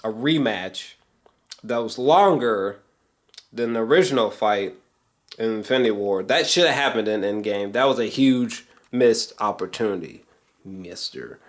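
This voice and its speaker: Slow-paced man gushing in a rambling review. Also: fairly low pitch, lively intonation. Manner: gushing, sweary, emphatic fan talk. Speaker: film reviewer. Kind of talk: casual rambling video review.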